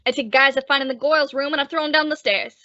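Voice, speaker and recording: A woman plays a swaggering radio-drama thug, a bullying barman who taunts. The voice is high, hard and bright. The sound is somewhat muffled. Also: fast. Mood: menacing, taunting. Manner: taunting, tough-guy swagger. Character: menacing, thuggish male bartender henchman. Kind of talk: old-time radio villain acting.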